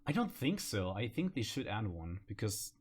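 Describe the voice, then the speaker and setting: A man's voice: on the low side, big pitch swings, normal speed, breathy edge. A man from Russia, a native Russian speaker, hosting a casual chat and explaining game voice lines. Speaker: host of a video series.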